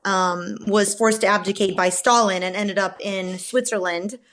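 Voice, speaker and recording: A woman from Romania, a novelist guest answering podcast interview questions in a thoughtful, measured way with frequent fillers and restarts. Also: mid-range voice, steady tone, a bit bright.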